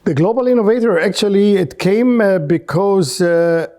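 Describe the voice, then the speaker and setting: Man from Egypt, higher pitch, non-native English, interview storytelling, repeats words for emphasis, halting. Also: a little mellow. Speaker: podcast guest, researcher and businessman.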